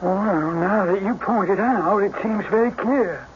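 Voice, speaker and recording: A man's voice: high-pitched, a little slow. A man playing a respectful police inspector who weighs a detective's reasoning in a radio drama. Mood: impressed. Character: respectful police inspector, doubtful, then convinced. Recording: muffled, hissy old radio broadcast.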